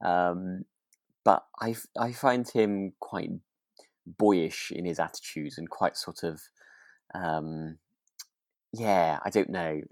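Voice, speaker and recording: A man with a slightly low voice, recorded dry, chats as a podcast co-host. He is agreeable and hesitant, feeling his way through his thoughts in a relaxed style. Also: slow, pauses often, no room sound. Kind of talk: relaxed chat discussing a radio drama.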